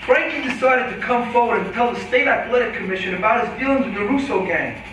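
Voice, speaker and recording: A man's voice: extremely high pitch, fast. A man playing a commanding, official police special agent in a staged play reading. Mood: calm, official. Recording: very echoey room, distant mic, faint noise.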